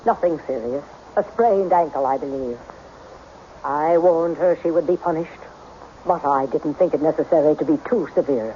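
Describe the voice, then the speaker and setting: A woman playing a mysterious, imperturbable self-styled sister to all mankind in a radio mystery, speaking formally and deliberately with quiet menace. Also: low voice, close to an everyday voice. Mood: calmly sinister. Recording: dull, noisy old radio recording.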